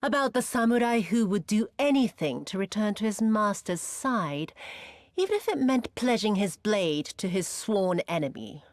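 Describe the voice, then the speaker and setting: An actress playing a cruel, vengeful woman in a video game, mocking and imperious, with a theatrical, drawn-out delivery that sinks into breathy whispers. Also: mid-range voice, expressive, darkish tone, dry recording, studio quality, close to an everyday voice. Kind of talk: dramatic video game cutscene acting.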